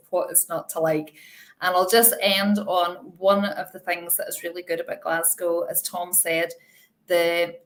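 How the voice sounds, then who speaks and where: Irish woman on a live q&a panel, lowish voice, bubbly and chatty. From Ireland. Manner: chatty, enthusiastic, laughs at herself.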